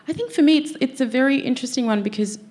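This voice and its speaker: A woman in her thirties, raised in Zambia, leads a panel discussion. She talks in a reflective, conversational way and moves between careful asides and quick bursts of speech. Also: high-pitched, darkish tone. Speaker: panel moderator.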